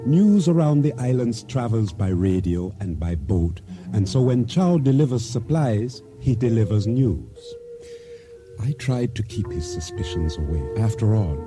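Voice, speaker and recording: A man playing a guarded, earnest island trader in a radio drama, telling his tale and talking in grave, formal phrases. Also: medium pitch. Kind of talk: radio drama narration and dialogue.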